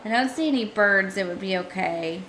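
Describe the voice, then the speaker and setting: Woman game streamer, casual running commentary, bright tone, a touch hoarse. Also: mid-range voice, normal speed, slight background noise, low-quality recording. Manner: chatty, thinking aloud, talks to chat. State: hoarse, losing her voice.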